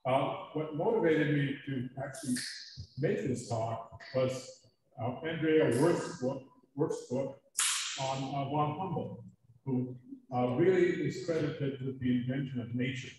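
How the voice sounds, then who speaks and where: A man giving a lecture at a society meeting, talking haltingly with many fillers. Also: medium pitch, a little mellow. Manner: halting, many ums and uhs. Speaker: guest speaker. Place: large meeting room. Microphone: distant room mic. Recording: echoey room from far off, faint noise.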